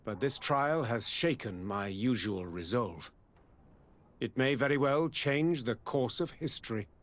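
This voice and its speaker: A man's voice: mid-range voice, lively intonation, measured pace, fairly bright tone. A man voicing a dignified middle-aged baron in a video game, formal and stately like a solemn statesman. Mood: gracious, reflective. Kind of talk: dramatic video game acting.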